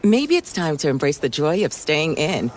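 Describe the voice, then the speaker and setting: A woman's voice: deep voice, sing-song, moderate pace, a little hiss or hum. A woman announcer reading a scripted commercial voice-over. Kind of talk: advertising voice-over.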